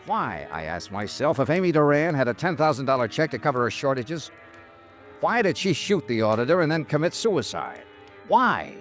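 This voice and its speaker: A man's voice: a little high, big pitch swings, moderate pace, soft background music. A man with an American accent playing a tough, dogged insurance investigator in a 1950s-style radio detective drama, terse and confrontational. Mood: brooding. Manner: terse, hard-boiled, confrontational. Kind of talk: 1950s radio detective drama acting, with first-person narration.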